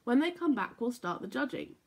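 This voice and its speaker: A woman voicing a kindly, encouraging old teddy bear in a storybook, gentle and expressive. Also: a little high, brisk, husky, full character voice. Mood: patient.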